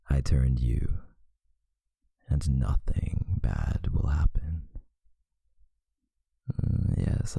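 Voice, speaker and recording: A man voicing a brooding, lovesick vampire boyfriend in a whispered ASMR roleplay, breathy and gravelly, murmuring intimately and tenderly with long pauses. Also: rumbling low, dark tone, heavily acted. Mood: soothing, protective.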